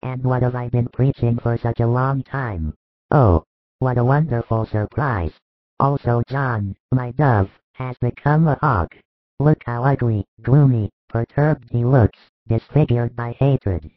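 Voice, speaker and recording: A man voicing a gentle, grieving teacher who speaks patiently and tenderly in an audiobook. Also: medium pitch, measured pace, no room sound, close to an everyday voice. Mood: gently chiding. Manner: gentle, sorrowful, questioning. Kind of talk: audiobook dialogue reading. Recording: dull lo-fi dry recording.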